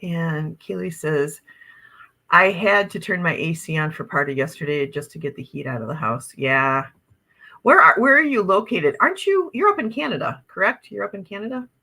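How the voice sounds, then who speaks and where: Woman, Upper Midwest accent, livestream host, bubbly, exclamatory, bright tone. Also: lowish voice, sing-song, normal speed. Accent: Upper Midwest American. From Wisconsin, USA. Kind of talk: casual live-stream chat reading viewer comments.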